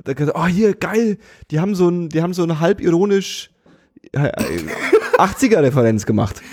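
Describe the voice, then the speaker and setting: A man's voice: high-pitched, animated, brisk, a little mellow. A man, co-hosting a casual podcast with dry, teasing irony. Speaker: podcast co-host. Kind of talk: casual chat.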